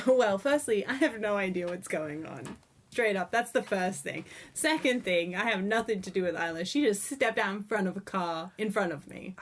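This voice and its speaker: Woman player; playful, lively, mid-pitched casual talk. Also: moderate pace. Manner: lively, playful, laughs often. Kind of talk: casual tabletop play.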